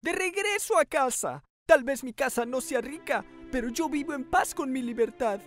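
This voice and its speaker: A simple, friendly country mouse from a children's cartoon, played by a woman. The voice is high and swings expressively. It sounds earnest and modest, with a timid streak. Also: lively intonation, moderate pace, soft background music. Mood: resolute, earnest. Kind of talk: children's cartoon dub acting.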